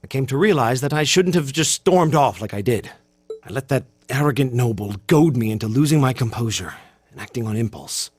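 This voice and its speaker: A man's voice: medium pitch, a little mellow, slightly rough, no echo. An American man voicing a stiff, proud, bookish teenage cadet in a video game, formal and hesitant. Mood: apologetic. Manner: formal, hesitant, self-conscious.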